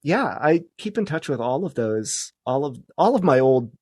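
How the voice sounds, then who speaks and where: A middle-aged man with a mid-range voice talks as a guest on a podcast. His delivery is casual and a little halting, feeling out each thought, in a dry recording with little room sound. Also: medium pitch, very animated, a little mellow, slightly rough, no echo. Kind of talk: casual conversational storytelling.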